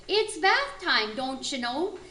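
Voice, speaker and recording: A chirpy Minnesotan auntie in a comic radio play, very high and swooping in pitch. She chats away obliviously, pleased with her own little jokes, in an echoey room. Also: sing-song, takes it slowly, pushed and bright, heavily acted. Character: folksy, chirpy, oblivious auntie giving silly turkey advice.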